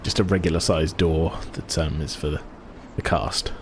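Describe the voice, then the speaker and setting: A man improvising narration as game master of a tabletop game, feeling for words in a halting way. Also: extremely low pitch, steady tone, a little hiss or hum.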